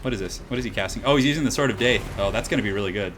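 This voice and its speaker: Slightly raspy, excitable male game streamer. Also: lowish voice, rapid-fire, background noise. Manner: excitable, breaks into loud exclamations. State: voice getting hoarse. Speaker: streamer casting a game tournament. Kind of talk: live game commentary and chat with viewers.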